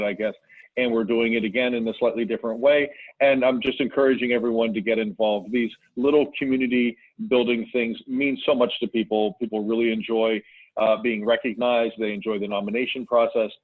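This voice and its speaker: Fast-talking man on a tinny line. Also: on the low side, dry recording. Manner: quick, encouraging, upbeat. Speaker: village commissioner. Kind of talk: municipal meeting remarks.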